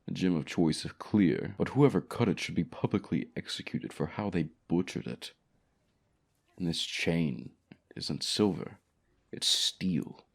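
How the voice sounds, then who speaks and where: Deep, haughty ancient dragon voiced by a man. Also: gravelly, exaggerated voice. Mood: amused disdain. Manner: formal, measured, grandiloquent. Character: ancient, haughty, lonely male dragon, courteous and possessive. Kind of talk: ASMR fantasy audio roleplay.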